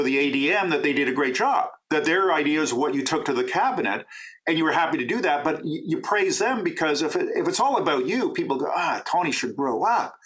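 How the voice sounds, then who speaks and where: Chatty, animated middle-aged Canadian man. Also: a little high. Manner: animated, talkative, self-described extreme extrovert. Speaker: radio host and author interviewed as guest. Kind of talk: conversational interview answers.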